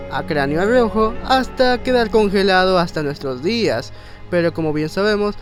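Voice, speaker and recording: A man narrating an informal countdown video in a wordy, explanatory, conversational way. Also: high voice. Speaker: video narrator, content creator.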